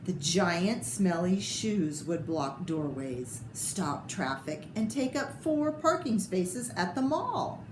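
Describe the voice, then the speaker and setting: A woman reading a children's picture book aloud with expressive, theatrical delivery and short asides about the pictures. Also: fairly low pitch, takes it slowly, few pauses. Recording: echoey room with clear background noise.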